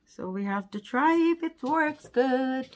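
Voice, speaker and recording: A woman's voice: high-pitched, expressive, slow, slight background noise. A woman with a Filipino accent chatting casually in non-native English as she presents an unboxing vlog. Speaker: vlogger.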